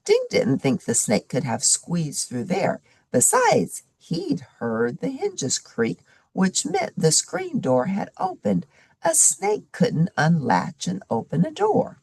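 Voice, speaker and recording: A woman reading a children's chapter book aloud in an animated, expressive storytelling manner. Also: mid-range voice, takes it slowly, gravelly, slightly rough, no room sound. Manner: animated storytelling with varied character voices. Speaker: story reader.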